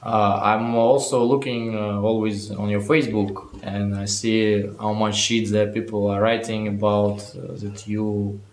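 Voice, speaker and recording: A man asks questions in a low, level voice that stays flat, pausing on fillers as he works out his phrasing. His voice carries the echo of the room. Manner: halting questions with fillers, non-native phrasing. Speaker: interviewer. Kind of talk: interview questions. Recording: echoey room.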